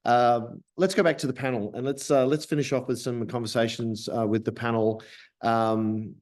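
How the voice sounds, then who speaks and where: A man's voice: mid-range voice, fairly even intonation, moderate pace, darkish tone. An Australian man moderating an online panel, rambling and stumbling over repeated words.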